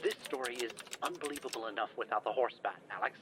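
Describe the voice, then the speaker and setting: A man's voice: mid-range voice, fast talker, noisy background, lightly acted. An actor playing a flustered, formal older male scientist in an audio drama, speaking wordily with nervous hesitations. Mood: awkward, formal.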